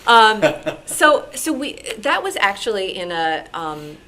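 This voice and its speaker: A woman's voice: mid-range voice, very animated, takes it slowly, bright, forceful tone. A woman speaking informally as a radio programmer on a panel, explaining decisions in a conversational, hedging way. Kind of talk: explanatory talk to an audience. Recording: noisy live meeting room recording.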